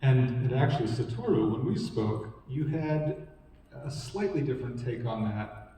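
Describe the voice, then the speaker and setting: Mellow-voiced male moderator in an echoey hall. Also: medium pitch, lively intonation, moderate pace, slight background noise. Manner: fluent, summarizing, posing questions. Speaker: panel moderator. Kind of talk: panel discussion. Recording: echoey hall, distant mic.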